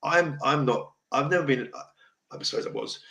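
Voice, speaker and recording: A middle-aged man with a deep voice hosts a casual livestream talk, blunt, emotional and rambling. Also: normal speed, pushed and bright. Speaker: host. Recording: echoey room.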